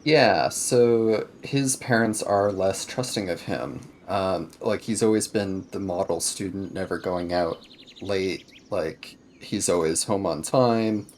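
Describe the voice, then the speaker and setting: A man playing a tabletop roleplaying game, talking casually about the story in a halting, measured way. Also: low voice, darkish tone, slight background noise.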